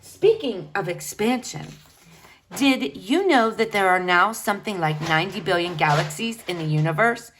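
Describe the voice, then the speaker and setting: A woman's voice: medium pitch, animated, normal speed, fairly bright tone, slight background noise, close to an everyday voice. A woman voicing a pompous, bookish young boy in a storybook, polite, precise and long-winded. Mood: earnest, self-important.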